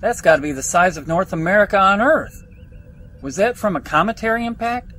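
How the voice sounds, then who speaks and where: A starship captain in a sci-fi radio play, acted by a man. He is composed, curious and dryly commanding, with a male voice pitched a bit high. There is some music underneath. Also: expressive, fairly quick, bright, forceful tone. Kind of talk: science fiction audio drama acting.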